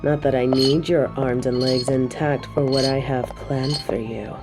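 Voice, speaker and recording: A woman's voice: very deep voice, fairly even intonation, over music. A woman playing a possessive, imperious evil queen in an audio roleplay, taunting and haughty. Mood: gloating, menacing. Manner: slow, taunting, imperious, with breathy drops to a whisper. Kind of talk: scripted audio roleplay drama.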